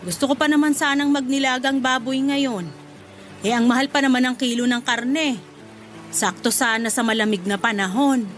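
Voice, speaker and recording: A woman playing a demanding, wheedling middle-aged mother in a radio drama, with a nagging, expressive delivery. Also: high-pitched, moderate pace, faint music behind. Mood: grumbling.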